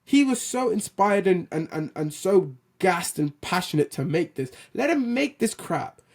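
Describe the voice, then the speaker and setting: A man with a loud voice hosting a film and TV show, giving an animated, opinionated rant with casual swearing. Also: high-pitched, a little slow. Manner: animated, blunt, swears casually, restarts sentences. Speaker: host of a film and TV news show. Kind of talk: opinionated solo commentary rant.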